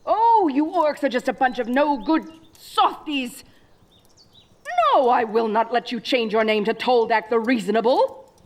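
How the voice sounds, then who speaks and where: Woman playing a high-voiced dorky evil queen. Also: a little slow, natural voice. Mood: scolding. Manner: theatrical, gloating, mock-menacing. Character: dorky self-styled evil sorceress queen, gleeful and petulant. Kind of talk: comedic scripted audio roleplay addressed to listener.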